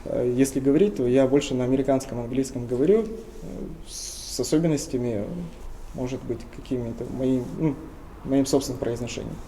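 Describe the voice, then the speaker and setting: A young man from Tuva in Russia, answering interview questions earnestly and often pausing with hesitation sounds. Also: medium pitch, mellow, a little hiss or hum, rough recording. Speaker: interview guest.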